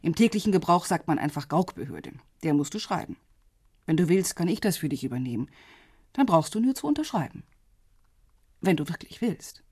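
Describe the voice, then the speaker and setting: An actress playing a composed, businesslike intelligence officer in a radio drama, speaking in a measured, matter-of-fact, discreet way with a husky voice. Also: female voice, lowish voice, sing-song, darkish tone, no room sound, studio quality, full character voice. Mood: calm, cautionary.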